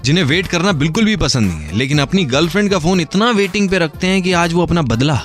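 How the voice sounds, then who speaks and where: Animated man presenting radio phone pranks. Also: a little high, quick pace, faint music behind. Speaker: radio prank-call host. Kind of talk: lively prank show presenting and calling.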